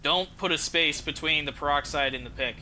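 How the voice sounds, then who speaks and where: Guy streaming a game, kinda high voice, just rambling casually, noisy game sound behind him. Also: brisk, pushed and bright. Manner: informal, rambling. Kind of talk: casual livestream chat over gameplay. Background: game audio.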